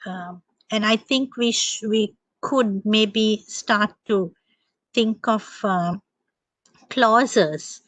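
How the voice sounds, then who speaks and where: A woman's voice: mid-range voice, very slow, a bit bright, no echo, low-quality recording. A woman presenter on a video call panel, answering thoughtfully with frequent hesitations and ums.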